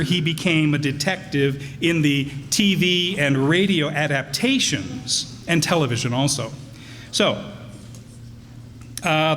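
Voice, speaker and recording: A male host introduces a radio play with folksy asides. His voice is a bit high and very animated, carried through a reverberant hall with faint noise. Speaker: announcer and host.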